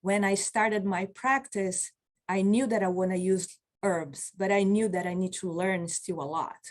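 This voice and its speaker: A woman in her forties, not a native English speaker, teaching an online class as a medicine practitioner, earnest and encouraging, speaking over a webcam from a small office. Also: mid-range voice. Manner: slow, earnest, encouraging, reads out chat replies. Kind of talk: online continuing-education lecture. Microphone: video call webcam.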